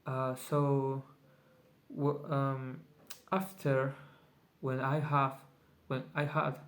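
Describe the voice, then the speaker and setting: A man whose first language is Spanish, keeping a casual video journal in English, hesitant and often pausing to search for words. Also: medium pitch, level tone, a bit bright. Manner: very slow, halting speech. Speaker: vlogger.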